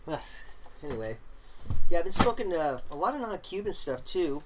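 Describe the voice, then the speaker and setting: A man hosting a casual live stream, rambling along in a halting way with lots of fillers and the odd throat clear. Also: fairly high pitch, background noise. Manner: relaxed, opinionated talk about smoking.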